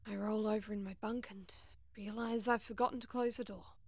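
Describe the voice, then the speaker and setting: A woman playing a frustrated, sarcastic young spacefaring heroine in an audio drama, expressive as she acts and narrates her own adventure. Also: medium pitch, a little fast, no echo, lightly acted. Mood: uneasy, hushed. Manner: sardonic, expressive. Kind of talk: audio drama acting with first-person narration.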